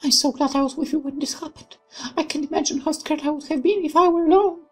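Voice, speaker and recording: A woman's voice: sits high, quick pace, faint music behind, pitched above the performer's own voice, lightly acted. A woman voicing a bold but frightened young woman in a visual novel, urgent and emotional. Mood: shaken, soft. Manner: shouts orders, then confides timidly.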